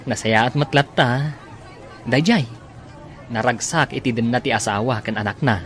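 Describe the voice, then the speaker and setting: A man's voice: mid-range voice, normal speed, fairly bright tone, close to an everyday voice. A man playing a sociable, teasing young friend in a radio drama. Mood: cheery, teasing. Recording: outdoor crowd and faint noise.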